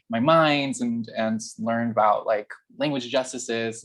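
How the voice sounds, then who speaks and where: A man from Nicaragua introduces himself on a group video call in a low, fairly flat voice. He talks haltingly, restarting and correcting himself often with ums. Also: low-quality recording. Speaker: mutual aid member.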